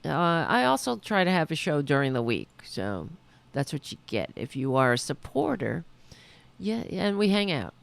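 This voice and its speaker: A woman hosting her own liberal talk show, chatting loosely and correcting herself as she goes, a little hoarse. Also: low voice, sing-song, normal speed. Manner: rambling, self-correcting, chatty. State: losing her voice. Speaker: podcast host. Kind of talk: casual political talk show.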